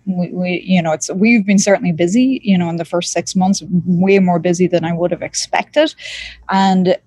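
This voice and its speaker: A woman's voice: fairly low pitch, moderate pace, no echo. A woman surgeon from Ireland with an Irish accent, speaking casually in a podcast interview with many fillers. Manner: pragmatic, relaxed, talks with frequent fillers. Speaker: surgeon guest.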